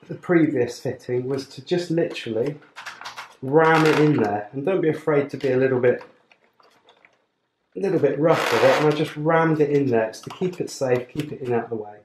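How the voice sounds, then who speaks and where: A man's voice: medium pitch, darkish tone. A man giving a chatty, informal step-by-step tutorial while working with his hands. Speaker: presenter of a motorcycle gear channel. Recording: roomy indoor sound, clear background noise, clicks.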